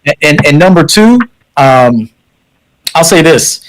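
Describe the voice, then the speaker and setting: A man's voice: a little high, lively intonation, slow, low-quality recording. A man co-hosting a call-in advice show, giving blunt, direct advice in a lecturing way. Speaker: co-host.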